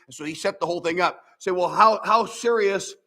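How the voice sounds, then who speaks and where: An older man from the American Midwest with a nasal voice, preaching a sermon as pastor in a folksy, animated way with many restarts. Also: high voice, brisk. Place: church.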